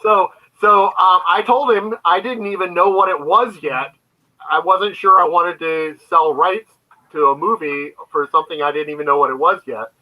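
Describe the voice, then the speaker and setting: Very bright-voiced man explaining his work. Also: high-pitched, normal speed, low-quality recording. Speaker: guest, comic book creator. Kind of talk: conversational interview answers, storytelling. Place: remote online video call.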